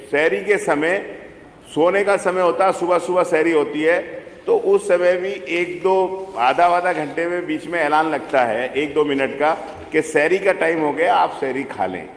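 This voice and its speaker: A man giving an impromptu, deferential speech as a legislator making a request in an assembly debate. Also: a little high. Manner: deferential, often addressing the chair as sir. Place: large legislative chamber. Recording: echoey assembly hall, faint noise.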